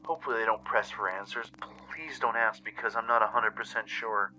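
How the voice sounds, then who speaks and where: A man's voice: lowish voice, brisk, sharp tone, soft background music, poor audio. A man voicing a frightened, bewildered young pony survivor in an audio drama, anxious and dramatic. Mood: afraid. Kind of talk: first-person audio drama narration.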